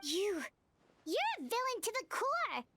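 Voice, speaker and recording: An actress voicing a tiny, excitable, indignant childlike companion creature in a video game, squeaky and exclaiming. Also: female voice, a little slow, exaggerated voice.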